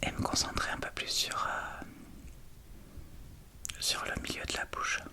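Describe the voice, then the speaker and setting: A woman whispering in a breathy, unvoiced voice, casually thinking aloud as she presents an ASMR makeup tutorial. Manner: hushed, unhurried, informal thinking aloud. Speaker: ASMR video creator.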